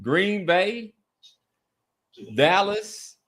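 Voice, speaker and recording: A man with a somewhat high voice talks sports casually as a podcast co-host. He is emphatic and likes to repeat a phrase to drive it home. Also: expressive, very slow, fairly bright tone.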